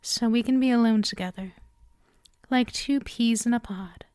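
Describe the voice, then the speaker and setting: A woman playing a lonely, coaxing female demon in an intimate ASMR roleplay, speaking softly and hesitantly, often dropping into a whisper. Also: a little high, moderate pace, dry recording, studio quality, natural voice. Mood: tender, concerned.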